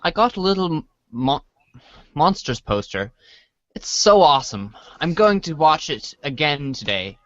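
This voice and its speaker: An earnest, dorky boy acted by a man speaks slowly with big swings of pitch. It is a character voice from an amateur audio drama, recorded dry and slightly muffled. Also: big pitch swings, fairly bright tone, no echo. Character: earnest, dorky boy chatting online, a movie fan.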